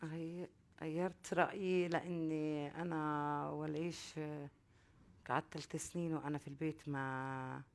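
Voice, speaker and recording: A woman with a deep, low-pitched voice tells a panel about the loss of her son. She speaks haltingly, full of grief, yet her words tumble out rapidly between the pauses. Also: little pitch movement, vocal fry. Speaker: panel guest, bereaved mother. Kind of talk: speaking through an interpreter.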